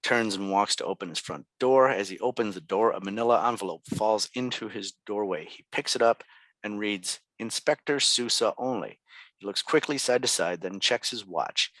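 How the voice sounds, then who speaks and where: A man's voice: lowish voice, normal speed, fairly bright tone, no room sound, rough recording. A man reading scene directions as scripted narration at a table read. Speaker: stage directions reader.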